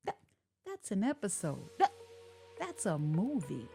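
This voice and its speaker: A woman's voice: mid-range voice, wildly swooping pitch, unhurried, vocal fry, mellow, faint music behind. A woman hosting a radio show in a casual, chatty way, speaking directly to her listeners. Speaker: radio and podcast show host.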